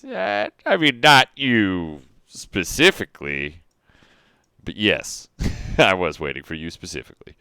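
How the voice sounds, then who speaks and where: A man voicing a sly, smirking fey man who lays low, with a halting, folksy Southern American drawl in a tabletop game. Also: sing-song, vocal fry, sharp tone, clean tone, dry recording, studio quality, exaggerated voice.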